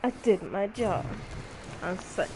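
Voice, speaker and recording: A woman's voice: medium pitch, expressive, slow pace. Exclamatory woman streamer, slightly noisy audio. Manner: exclamatory, slangy, reacting aloud. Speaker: gaming streamer. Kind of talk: casual game livestream commentary.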